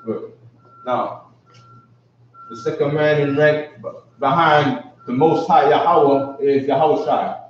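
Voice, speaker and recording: A man's voice: fairly high pitch, fairly even intonation, slow pace, a little hiss or hum. A man teaching a casual live class, who keeps repeating himself and asking "you know what I'm saying?". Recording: echoey, distant, dull live stream.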